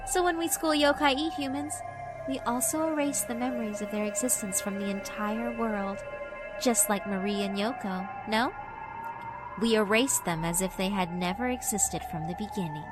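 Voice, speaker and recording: A woman's voice: fairly high pitch, natural voice. A woman voicing a sinister, cruelly amused female school spirit in a horror visual novel, theatrical and mock-polite. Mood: smug, taunting. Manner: theatrical, mock-polite, taunting rhetorical questions.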